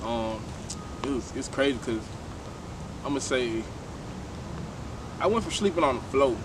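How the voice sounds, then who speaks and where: A man's voice: mid-range voice, animated, unhurried, far from the mic, noisy background. A man, talking loosely in a casual interview and often restarting his phrases. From Shreveport, Louisiana, USA. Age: 20s. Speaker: guest, tattoo artist.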